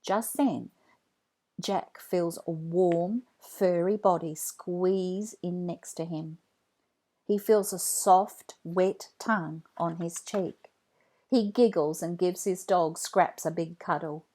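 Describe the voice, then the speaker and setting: A woman reading a children's story aloud in an expressive, deliberate read-along style. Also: fairly low pitch. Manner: deliberate, animated phrasing for children.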